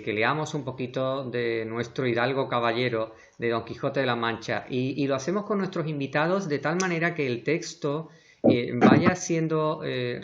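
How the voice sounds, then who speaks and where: A man hosting an interview, formal and hesitant, often pausing and restarting his questions. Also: mid-range voice. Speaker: host. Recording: muffled lo-fi audio.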